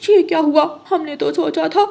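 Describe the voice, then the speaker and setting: A woman's voice: high-pitched, moderate pace, vocal fry, darkish tone. A woman voicing a greedy, scheming female crow in a cartoon, with a complaining, wheedling manner. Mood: dismayed.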